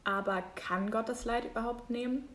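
A woman's voice: on the high side, steady tone, moderate pace, fairly bright tone. A woman hosting a podcast, friendly and reading her moderation from a script. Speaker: podcast host.

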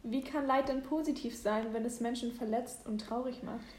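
A woman's voice: on the high side, moderate pace, a little mellow, roomy sound. A nineteen-year-old woman who volunteers in a hospital, speaking earnestly and asking questions.

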